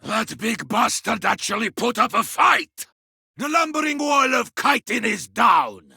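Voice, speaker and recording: An actor playing a brash, zealous, battle-hungry space marine with a rough gravelly voice in a video game. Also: male voice, high-pitched, sing-song, slow pace, bright, forceful tone, no room sound, studio quality, full character voice. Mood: exultant. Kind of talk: video game combat barks.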